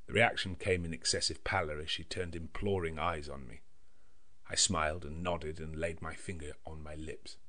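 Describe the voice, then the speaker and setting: Deep-voiced male audiobook narrator. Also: fairly even intonation, crowd noise. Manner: measured, literary, diary-like delivery. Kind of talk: scripted narration of a gothic novel.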